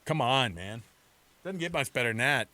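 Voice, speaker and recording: An American man co-hosting a lively sports talk radio show. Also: medium pitch, moderate pace, dry recording.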